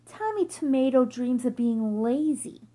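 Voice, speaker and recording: A woman reading a children's picture book aloud in an expressive, deliberate storytelling manner. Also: high voice.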